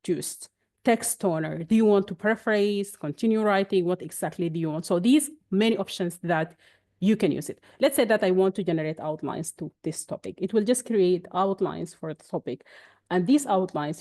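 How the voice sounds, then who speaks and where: A young woman whose first language is Arabic, presenting a webinar and walking through software on screen in a chatty, explanatory way with frequent restarts. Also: fairly low pitch, normal speed. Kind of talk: screen-share software demonstration.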